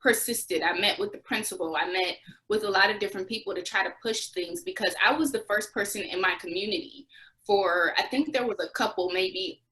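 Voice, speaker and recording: A woman's voice: medium pitch, fairly quick, bright, forceful tone, rough recording. A young adult woman answering interview questions, recounting experiences as a former volunteer with restarts and occasional laughs.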